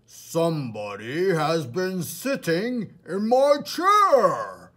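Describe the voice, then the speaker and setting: A man voicing a great big, gruff, indignant father bear in a storybook, with a gravelly, husky voice. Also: high voice, huge pitch swings, extremely slow, fairly bright tone, voice pushed up, full character voice.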